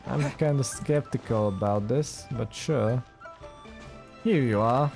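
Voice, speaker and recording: A man's voice: medium pitch, takes it slowly, vocal fry, music in the background. A man giving casual, skeptical let's play commentary in a dry manner.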